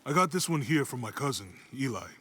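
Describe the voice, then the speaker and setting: A man playing an easygoing, loyal companion, relaxed and colloquial, in a video game cutscene. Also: mid-range voice, fairly quick, slightly rough, heavily acted. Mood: joking. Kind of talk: casual, naturalistic game cutscene acting. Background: faint background music.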